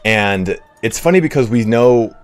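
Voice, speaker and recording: A man streaming a game while giving a casual, rambling history talk, hoarse today, with blunt profanity and shout-outs to donors. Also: medium pitch, lively intonation, a little slow. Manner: rambling, profane, reads donations aloud.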